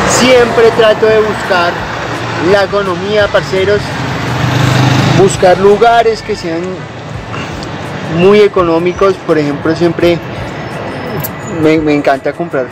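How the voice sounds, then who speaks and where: A man's voice: sits high, very noisy. A young man from Colombia with a Bogotá accent, chatting casually to the camera outdoors on city streets and calling his viewers parceros. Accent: Bogotá Colombian Spanish. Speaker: cycling vlogger. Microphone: handheld or mounted camera close up. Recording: loud background music. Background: music.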